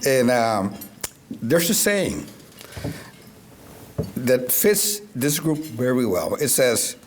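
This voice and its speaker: A man's voice: medium pitch, big pitch swings, drawn-out words, slight background noise. A man from Puerto Rico, a native Spanish speaker, giving an upbeat, proud speech to a business audience and laughing between phrases. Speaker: event sponsor speaker. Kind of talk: speech at a public event.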